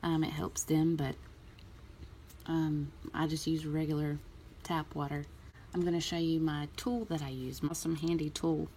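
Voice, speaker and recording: A woman in a relaxed, chatty way explaining a nail art tutorial, with plenty of ums. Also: sits low, moderate pace, slight background noise. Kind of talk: casual tutorial talk.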